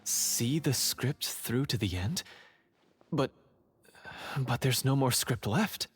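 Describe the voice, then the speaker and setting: A remorseful young swordsman in a fantasy game, full of self-doubt. His voice has a middling pitch and an earnest, hesitant, confessional delivery, with music behind it. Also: expressive, takes it slowly, slightly rough, exaggerated voice. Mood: hesitant, puzzled. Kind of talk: scripted fantasy game dialogue. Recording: music playing under the voice.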